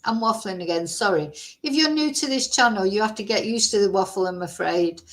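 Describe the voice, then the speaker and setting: A woman's voice: medium pitch, a little fast. Host type: rambling, chatty woman, faded Mancunian accent, casual livestream talk into a webcam. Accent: softened Mancunian English. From Manchester, England. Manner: chatty, rambling, self-deprecating. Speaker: true crime channel host. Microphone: webcam or phone camera.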